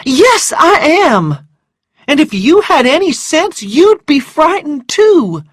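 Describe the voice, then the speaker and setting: Woman acting a headstrong, high-voiced young Southern lady. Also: very animated, takes it slowly, fairly bright tone, no echo, clean studio sound, full character voice. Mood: frightened, sharp. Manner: urgent, emphatic.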